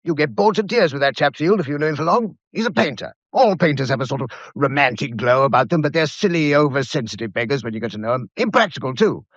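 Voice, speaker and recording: An actor playing an impulsive, emphatic gentleman in a radio mystery, with clipped delivery. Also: on the high side, animated, fast, few pauses, no echo, highs cut off. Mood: scornful, opinionated. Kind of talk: radio drama acting.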